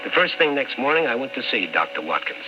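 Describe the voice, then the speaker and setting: A man's voice: medium pitch, very animated, fast talker, over music, natural voice. Skeptical newspaperman played by an actor, muffled radio. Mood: tense narrating. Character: skeptical, dogged newspaperman narrating his investigation. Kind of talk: old-time radio drama narration. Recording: muffled old radio recording.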